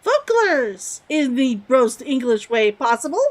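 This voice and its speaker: A woman's voice: sits high, big pitch swings, a little slow. A young woman streaming a game, chatty and giggly, repeating words aloud to test how they sound. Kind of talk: live game commentary.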